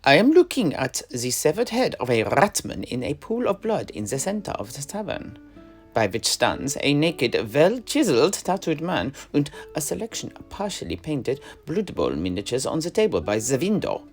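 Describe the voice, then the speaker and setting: A man performs an upright, politely incredulous knight for a fantasy audio drama. His delivery is formal and theatrical, with huge swings of pitch. Faint music runs behind. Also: big pitch swings. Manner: declamatory, formal, halting when embarrassed. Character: proper, bewildered male knight, politely incredulous. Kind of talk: in-character audio drama dialogue.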